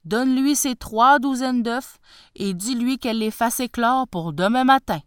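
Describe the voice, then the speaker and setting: A woman's voice: on the high side, unhurried, clean tone, no echo, studio quality, voice pushed up, lightly acted. A woman voicing a vain, proud tsar who commands and threatens. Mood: threatening. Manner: haughty and commanding.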